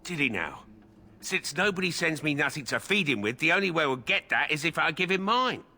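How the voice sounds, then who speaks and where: A man's voice: a little high, animated, fairly quick, sharp tone, slight background noise, full character voice. An actor playing a blunt, sardonic army guard who grumbles about his duty, in a video game. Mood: grudging. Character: grumbling, callous male army guard with grudging decency.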